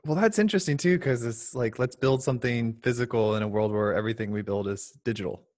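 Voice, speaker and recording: A man of average pitch hosting a podcast. He asks his guest questions in a relaxed, conversational tone with clear enthusiasm. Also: fast. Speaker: podcast host. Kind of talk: casual interview talk.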